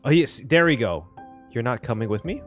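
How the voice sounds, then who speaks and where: A man giving casual live reaction commentary on a game as a streamer, animated and expressive. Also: mid-range voice, brisk, faint music behind.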